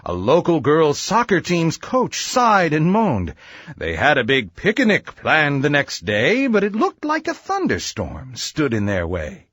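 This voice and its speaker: A man's voice: on the high side, huge pitch swings, measured pace, fairly bright tone, husky, no echo, clean studio sound. A man narrating a rhyming children's story in a theatrical, sing-song verse delivery.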